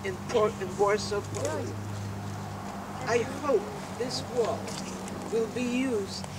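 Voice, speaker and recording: Older woman, ceremonial speaker, unhurried and solemn, clear background noise on a distant outdoor mic. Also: medium pitch. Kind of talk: prepared dedication speech.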